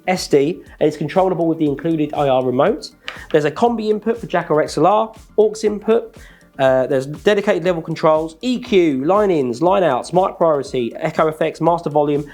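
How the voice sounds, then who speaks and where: A man, sounding, calmly walking through product features in a scripted, methodical presentation. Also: fairly high pitch. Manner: measured, methodical listing of features.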